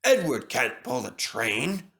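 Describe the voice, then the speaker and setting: A man's voice: medium pitch, very animated, takes it slowly, a bit bright, slightly rough, heavily acted. A man voicing a pompous, easily affronted steam engine in a storybook reading, sighing and grumbling with indignation. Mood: dismissive.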